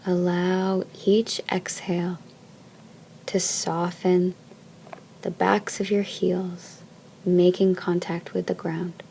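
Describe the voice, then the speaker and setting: Soft, mellow woman guiding deep relaxation. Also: flat delivery, gravelly, a little hiss or hum. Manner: soft, soothing, measured phrasing with long pauses. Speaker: yoga nidra teacher. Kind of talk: guided meditation, slow instruction.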